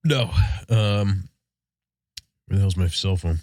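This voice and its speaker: A man's voice: low voice, takes it slowly, a little mellow, no room sound, clean studio sound. An American man co-hosting a podcast in casual, laid-back shop talk, sounding congested from a sinus infection. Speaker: podcast co-host.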